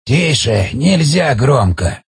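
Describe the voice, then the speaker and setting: A man voicing an ancient, frightened underground elder in an audiobook, speaking in slow, hushed, halting phrases. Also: a little high, very animated, creaky, slightly rough, no echo, exaggerated voice. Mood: fearful, hushed.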